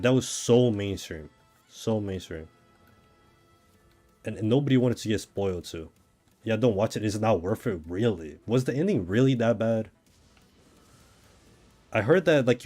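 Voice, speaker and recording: A man's voice: on the low side, warm tone. A man from Brazil with a Brazilian accent, chatting casually and thinking aloud in a rambling way as he streams a game. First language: Portuguese. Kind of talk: casual chat while gaming.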